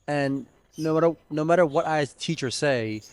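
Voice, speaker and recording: An American-born man, an acrobatic yoga teacher, explaining his ideas earnestly as a podcast guest, slowing on key words. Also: a little high, clean tone. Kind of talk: casual conversation.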